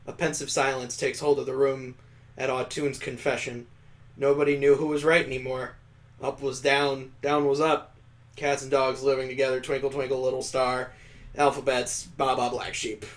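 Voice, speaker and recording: A man's voice: mid-range voice, flat delivery, normal speed. A man reading a visual novel aloud as a let's play host, mixing story narration with sarcastic, joking commentary. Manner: sarcastic, wisecracking.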